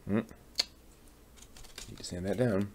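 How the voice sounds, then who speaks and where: A man casually talking through a hands-on hobby build, halting and muttering to himself as he works. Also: lowish voice, fairly even intonation, gravelly, background noise. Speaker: hobby channel host building a model kit on stream.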